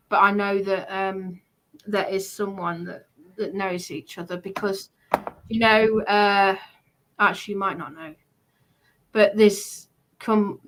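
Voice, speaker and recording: Insistent, halting woman in casual chat. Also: mid-range voice, fairly bright tone, low-quality recording. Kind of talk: casual chat, recounting personal grievances.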